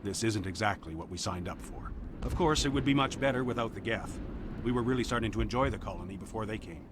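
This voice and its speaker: Plainspoken male colony hunter, voiced by an actor, grumbling and wry, fairly deep, natural video game delivery. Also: fast, background noise, close to an everyday voice. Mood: wry, regretful.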